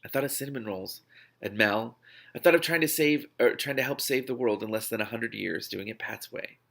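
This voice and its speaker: Man, low-pitched, gravelly edge, forceful tone, expressive book reading, stumbles and asides. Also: brisk. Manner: expressive, often stumbles and breaks off to react. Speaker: book reader, reaction host. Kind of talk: reading a novel aloud.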